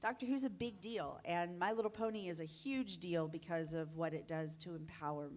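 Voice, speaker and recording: A woman's voice: lowish voice, normal speed, a little mellow. A woman speaking casually as a panelist to a convention audience. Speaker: convention panelist from a radio drama team. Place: convention meeting room.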